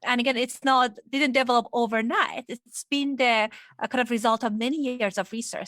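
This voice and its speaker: A woman's voice: a little high, fairly bright tone, no room sound. A woman from Iran speaking as a psychologist guest, explaining things reflectively in an interview. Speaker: guest, clinical psychologist and sex therapist.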